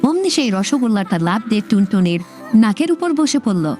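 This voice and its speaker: A woman narrating a scripted children's story in an expressive storybook manner. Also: mid-range voice, normal speed, soft background music.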